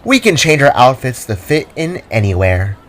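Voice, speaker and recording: A man's voice: medium pitch, big pitch swings, normal speed, a bit bright. A man voicing an upbeat female alien pop star in a homemade audio drama. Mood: cheerful.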